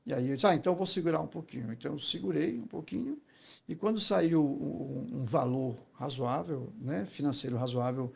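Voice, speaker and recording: Brazilian man, creaky raspy voice, emphatic, didactic, conversational interview guest, frequent agreement tags. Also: a little high, fairly quick. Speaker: guest, public speaking teacher.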